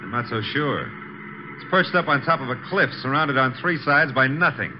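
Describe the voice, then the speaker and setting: An American actor playing a breezy, wisecracking detective's assistant in a 1950s radio drama. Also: male voice, medium pitch, animated, normal speed, lightly acted. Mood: doubtful. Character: wisecracking, easygoing male detective's legman. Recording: noisy, muffled vintage radio recording.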